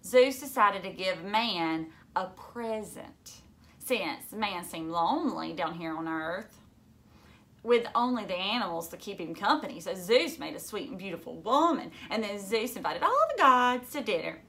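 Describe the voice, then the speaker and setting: Woman doing an anxious stubborn storybook schoolgirl, bright voice, prim n overwrought. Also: mid-range voice, lively intonation, measured pace. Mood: prim, insistent.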